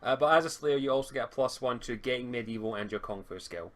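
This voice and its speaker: A man's voice: medium pitch, fast. A man acting as game master, explaining tabletop game rules over an online call, often stammering and restarting his words.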